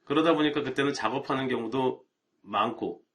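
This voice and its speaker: Middle-aged man, IT instructor, casual live-stream chat, relaxed and chatty, medium pitch. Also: fairly quick. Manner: relaxed, chatty, rhetorical asides. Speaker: IT networking instructor.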